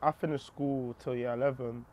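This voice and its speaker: A man's voice: mid-range voice, fairly even intonation, normal speed, a little mellow, a little hiss or hum. A man, a guest talking casually in slang that trails off. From Ghana. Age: 20s. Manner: laid-back slang, trailing off. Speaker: guest musician. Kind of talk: casual chat.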